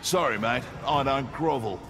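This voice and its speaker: A man's voice: mid-range voice, normal speed, lightly acted. A man voicing a cocky, greedy cyborg mercenary with an Australian accent, taunting in slang for a video game. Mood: smug taunting. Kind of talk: video game fight banter. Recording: long reverb over loud music.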